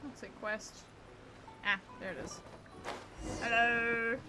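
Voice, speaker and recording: A woman's voice: a little high, steady tone, takes it slowly. A woman streamer giving casual, playful gameplay commentary in short, giggly reactions. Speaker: game streamer. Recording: game music behind the voice. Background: video game music.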